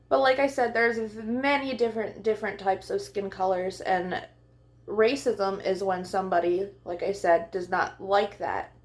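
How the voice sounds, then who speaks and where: A woman hosting a children's storytime, speaking in simple, deliberate phrases meant for young listeners. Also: medium pitch. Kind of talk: read-aloud and talk addressed to young children.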